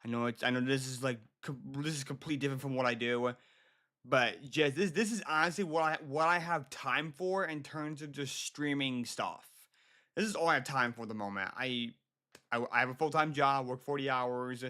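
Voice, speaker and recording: An American man from Michigan chatting casually to his livestream viewers, halting and often repeating himself. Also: medium pitch, pushed and bright. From Michigan, United States. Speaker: livestream host.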